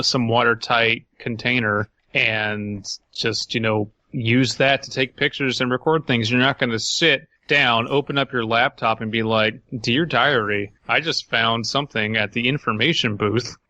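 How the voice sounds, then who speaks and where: A man's voice: medium pitch, fairly even intonation, moderate pace, few pauses, bright, forceful tone, dry recording, muffled recording. A man hosting a podcast, chatting casually and reading a story aloud with dry, skeptical asides. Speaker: podcast host.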